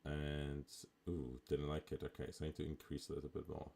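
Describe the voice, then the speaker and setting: A man with a raspy voice, chatting casually as a live streamer while he plays a management game. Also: a bass voice, a little fast. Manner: relaxed, chatty, with fillers. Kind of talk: casual live gameplay commentary.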